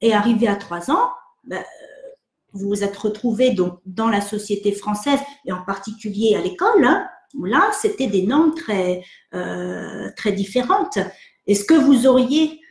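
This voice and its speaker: A woman psychologist from France hosting an online session, answering viewer questions in a hesitant, gentle way with many fillers and restarts. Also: medium pitch, lively intonation, a little slow, reverberant. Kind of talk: live webinar, reading and answering viewer questions.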